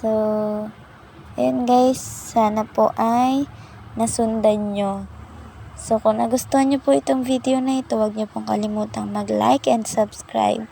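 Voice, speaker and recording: A woman narrates an app tutorial, guiding viewers patiently and politely through each step. Her voice is soft and mellow, and her delivery is slow and even, with little rise or fall in pitch. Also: vocal fry, a little hiss or hum, rough recording. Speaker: tutorial channel host.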